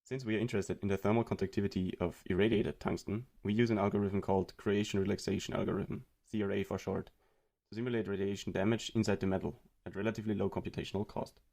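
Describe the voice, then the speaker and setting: A young man from Austria giving a read-aloud presentation of a student research project in a steady explanatory way. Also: lowish voice, quick pace, creaky.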